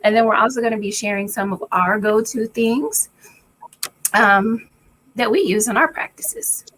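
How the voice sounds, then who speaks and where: Raspy chatty woman on a live stream. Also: a little high, moderate pace, a little hiss or hum, low-quality recording. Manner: relaxed, chatty, often laughing. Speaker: co-host of a live spiritual chat. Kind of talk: casual live-stream chat.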